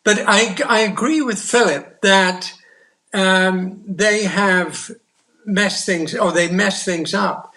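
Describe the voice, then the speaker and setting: An older man from the United Kingdom speaking as a panel guest, measured and deliberate as he searches for words. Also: high voice, fairly even intonation, sharp tone. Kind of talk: panel discussion.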